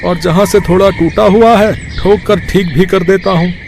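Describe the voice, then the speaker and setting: A man plays a poor village father in a cartoon moral tale, very high in pitch. He sounds humble, earnest and hesitant, with faint noise behind him. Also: steady tone, moderate pace, a little mellow. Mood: reassuring, determined.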